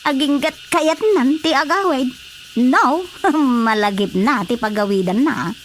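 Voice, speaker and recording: Actress as a high, exclaiming village neighbour. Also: female voice, measured pace, slight background noise. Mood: sympathetic. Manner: exclamatory, questioning. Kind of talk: radio drama comedy acting.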